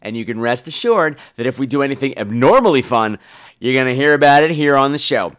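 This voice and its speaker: Campy guy doing his podcast, normal pitch, chatty, sarcastic, swears a lot. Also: expressive, fairly quick, fairly bright tone, clear, resonant tone. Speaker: podcast host. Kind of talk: casual chat, reacting to voicemails.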